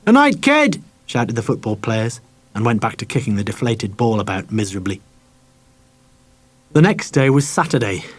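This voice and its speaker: A man's voice: lowish voice, moderate pace, long pauses, a little mellow, slightly rough. A man narrating a children's novel as a scripted audiobook.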